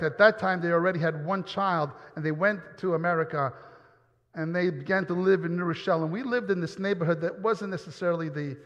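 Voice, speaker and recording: Earnest guy preaching his testimony, kinda high voice, big hall echo, dull sound. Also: a bit bright. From New York, US. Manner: earnest, repeats words when stumbling. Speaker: pastor. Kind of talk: personal testimony sermon. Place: church.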